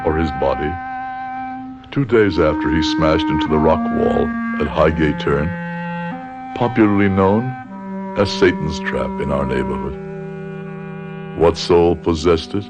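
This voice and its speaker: An older professor near death, narrating a radio drama. His voice is low and grave, solemn and remorseful in measured phrases, with music clearly behind him. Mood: grave, resigned.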